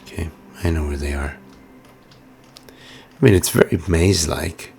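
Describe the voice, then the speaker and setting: A hoarse man giving casual, musing let's-play commentary with plenty of fillers while he plays. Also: a bass voice, unhurried, darkish tone, a little hiss or hum. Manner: musing, chatty, many fillers. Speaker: game streamer.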